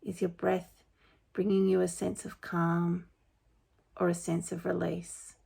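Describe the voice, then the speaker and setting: A woman leading a guided meditation in a gentle, unhurried, informal and soothing way. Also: on the low side, completely flat delivery, gravelly, husky. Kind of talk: guided meditation, spoken softly to listeners.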